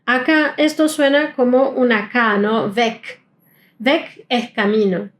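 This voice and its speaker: A woman's voice: a little high, fairly even intonation. Woman teaching German pronunciation. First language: not Spanish. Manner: explanatory, slows down to model sounds. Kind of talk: pronunciation lesson.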